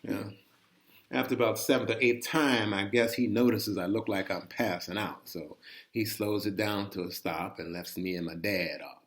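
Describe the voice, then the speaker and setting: A man reading a first-person story in a conversational, folksy way, animated and full of asides to the listener. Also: fairly low pitch. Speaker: reader of a personal chronicle.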